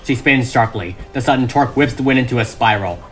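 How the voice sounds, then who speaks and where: A man's voice: mid-range voice, fast, a bit bright. A man reading a script as dramatic narration, with emphatic, suspenseful delivery. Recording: music behind the voice.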